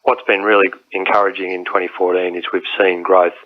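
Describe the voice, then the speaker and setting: A man's voice: sits low, level tone, fairly quick. A man speaking as a business executive in an interview soundbite. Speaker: port executive. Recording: narrow, tinny phone line.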